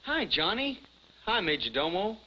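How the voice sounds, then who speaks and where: An actor playing a naive, earnest young amateur detective with boyish, stammering eagerness in a 1950s television comedy. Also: male voice, sits high, sing-song, normal speed, bright, forceful tone, full character voice. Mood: eager. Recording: muffled, hissy 1950s television soundtrack.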